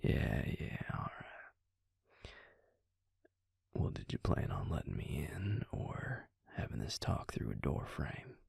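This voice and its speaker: Audio roleplay vampire, male, voiced by a man: Southern US, courteous and folksy, breathy half-whisper, unhurried delivery. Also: very animated, a little mellow, no room sound, clean studio sound, heavily acted. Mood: wry, courteous. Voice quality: low, gravelly, breathy near-whisper. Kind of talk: intimate audio roleplay acting addressed to the listener.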